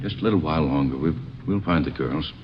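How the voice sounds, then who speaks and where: A worried, gentle father in a 1950s radio drama. His very low voice is halting and uneasy as he tries to soothe. The recording is muffled, with faint noise. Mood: soothing, strained. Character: worried father of a missing girl, gentle, hesitant.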